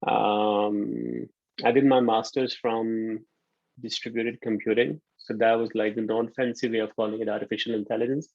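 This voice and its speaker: Gravelly man lecturing over a video call. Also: on the low side, normal speed, no room sound. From Pakistan. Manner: relaxed, hesitant with frequent ums. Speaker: university lecturer. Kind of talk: online lecture. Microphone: computer mic on a video call. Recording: dull lo-fi video call audio.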